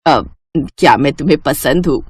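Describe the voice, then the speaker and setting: A stern older mother-in-law who scolds and chides, voiced by a woman in a cartoon. Her voice sits low for a woman and is recorded dry and close, with no room sound. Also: expressive, moderate pace, slightly rough, no room sound. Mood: scheming. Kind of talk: cartoon dubbing.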